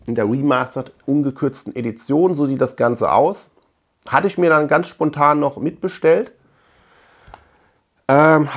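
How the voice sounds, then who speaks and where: Halting middle-aged man reviewing films. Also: on the high side. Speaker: host of a film collection channel. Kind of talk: casual film review to camera. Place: small room lined with shelves of collectibles.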